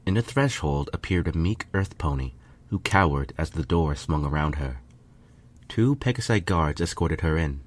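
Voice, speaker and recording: A man's voice: very deep voice, lively intonation, normal speed. A man reading a first-person fan fiction story as scripted narration.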